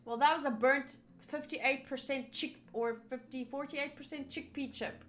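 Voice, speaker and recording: A woman's voice: sits high, steady tone, moderate pace. A woman livestreaming a game, chatting casually and reading game text aloud as she reacts to it and to viewers. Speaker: streamer playing a visual novel. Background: game music.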